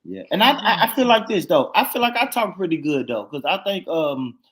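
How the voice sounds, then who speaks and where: A man from the American South with a Southern accent, chatting on a panel in an emphatic, animated way. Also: fairly high pitch, fairly quick, husky. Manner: animated, emphatic, swears freely. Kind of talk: casual panel chat.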